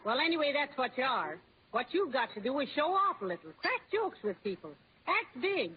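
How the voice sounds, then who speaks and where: An actress playing a bossy, well-meaning American housewife who nags insistently in an old-time radio comedy. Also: female voice, high-pitched, sing-song, a little fast. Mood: insistent prodding. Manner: scolding, insistent. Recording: tinny, noisy old radio broadcast.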